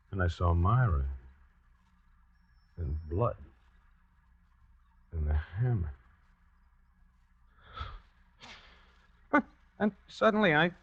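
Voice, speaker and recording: An actor playing a desperate, haunted man in a 1940s radio suspense play, narrating his crime in a halting, brooding, dramatic way. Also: medium pitch, husky. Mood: hysterical, breaking down. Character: desperate, haunted man confessing to a planned killing. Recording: muffled, noisy old radio recording.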